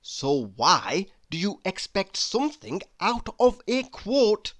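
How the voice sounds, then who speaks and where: A man reading humorous quotes aloud in a deliberate, mock-inspirational manner. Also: high voice, sing-song, a bit bright. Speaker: reader.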